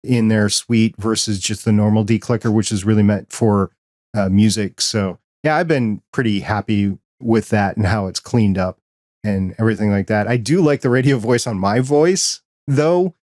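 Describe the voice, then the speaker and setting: Quiet, low-voiced male podcast host. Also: normal speed, no room sound, clean studio sound. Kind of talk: casual interview chat. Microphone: dynamic broadcast microphone.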